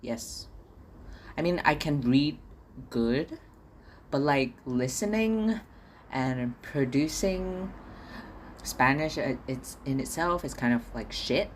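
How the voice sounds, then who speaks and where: A man's voice: medium pitch, lively intonation, takes it slowly, long pauses, a little hiss or hum. A young man from Singapore, talking alone in a casual podcast diary at home, ranting and swearing with stammered repeats. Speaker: podcast host.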